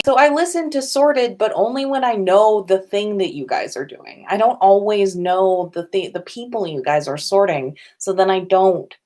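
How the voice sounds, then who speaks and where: A woman hosting a casual livestream from indoors at home, chatting informally with viewers. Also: lowish voice. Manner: informal, chatty, sprinkled with swearing and giggles. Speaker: podcast host on a livestream.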